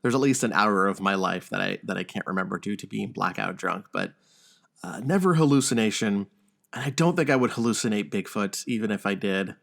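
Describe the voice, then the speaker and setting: A man's voice: on the low side, brisk, gravelly, fairly bright tone. A man hosting a podcast, chatting casually in a wry, joking, mildly profane way. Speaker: podcast host. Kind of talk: casual chat about news and video games.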